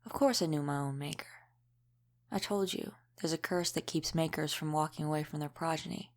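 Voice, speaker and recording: A woman playing a centuries-old, world-weary vampire mentor in an audio roleplay, speaking in a measured, matter-of-fact, lightly wry way. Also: low-pitched. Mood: explaining, wry. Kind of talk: scripted audio roleplay, addressed to the listener.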